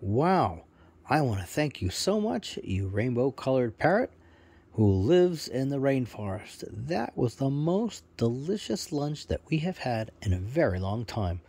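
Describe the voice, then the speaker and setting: A man's voice: mid-range voice, sing-song, a little slow, darkish tone, breathy edge, exaggerated voice. A man voicing a polite young boy on a forest adventure in a children's bedtime story, hesitant and marveling. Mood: grateful.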